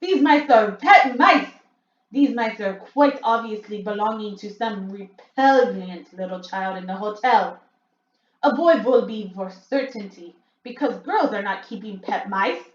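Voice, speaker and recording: A woman's voice: medium pitch, a little slow, roomy sound, highs cut off, close to an everyday voice. A woman reading a storybook aloud and voicing the harsh female leader of the witches, who snaps out commands. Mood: commanding. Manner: snapping, bossy commands.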